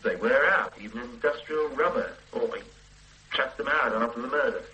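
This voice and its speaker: A man's voice: mid-range voice, pushed and bright, natural voice, rough recording. An actor playing a confident, matter-of-fact male police detective in a radio drama, brushing off his partner's doubts. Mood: dismissive. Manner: brisk, dismissive, matter-of-fact.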